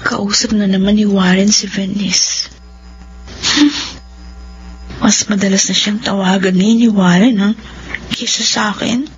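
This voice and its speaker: A woman's voice: medium pitch, fairly even intonation, measured pace, pauses often, natural voice. A woman playing a jealous, brooding young woman in a Filipino radio drama monologue. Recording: dull lo-fi under clear music.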